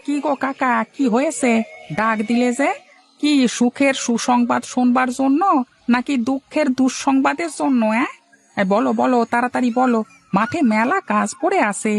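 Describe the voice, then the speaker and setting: A woman's voice: sits high, moderate pace, creaky. A woman voicing a fretful, hard-working male village farmer in a cartoon, plaintive and anxiously repeating phrases. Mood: impatient. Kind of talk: cartoon moral-story dialogue.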